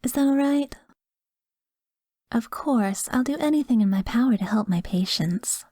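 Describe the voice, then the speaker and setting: A woman playing a doting, possessive therapist in a soft-spoken, breathy, whispery ASMR roleplay, coaxing and soothing. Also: fairly high pitch, expressive, normal speed, dry recording, studio quality. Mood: gentle, coaxing. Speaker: audio roleplay performer. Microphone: close microphone, dry.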